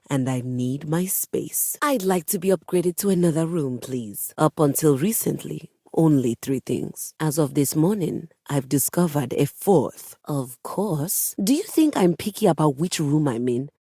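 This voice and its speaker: Haughty, mellow diva piano. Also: female voice, deep voice, dry recording, clean studio sound, full character voice. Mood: imperious, fussy. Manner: refined, precise, dramatic diction with disdainful formality. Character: haughty, theatrical female grand piano with wounded diva pride. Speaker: video game voice actor. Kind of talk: character dialogue for a dating simulator game.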